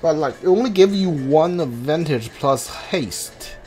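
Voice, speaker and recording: A man giving casual video game commentary, thinking aloud haltingly and repeating his words as he plays. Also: fairly high pitch, lively intonation, darkish tone. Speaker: gamer, playthrough host. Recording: game music behind the voice. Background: video game music.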